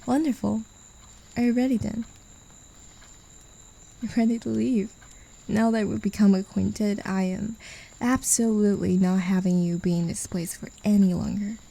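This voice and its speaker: A possessive demon, played by a woman, toys with her claimed pet in a soft, condescending ASMR roleplay. The voice is very dark and gravelly, with a husky edge. She teases and drops into low whispers. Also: fairly even intonation, moderate pace, pauses often. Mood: taunting.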